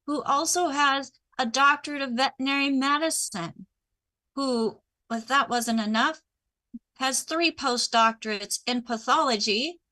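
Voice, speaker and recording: Lady host, slow, drawn out intro, announcer-ish. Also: sits high, pushed and bright. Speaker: show host. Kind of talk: scripted guest introduction.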